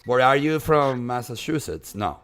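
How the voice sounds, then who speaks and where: Animated male esports caster, strong Japanese accent. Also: mid-range voice, normal speed. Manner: animated, chatty, emphatic repetition. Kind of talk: excitable live game commentary and banter.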